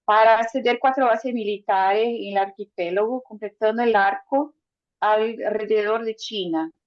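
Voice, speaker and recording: A woman whose first language is Portuguese, speaking as a sociologist guest on a panel, hesitant with many drawn-out "ah" fillers. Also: on the high side, dull audio. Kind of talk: panel discussion.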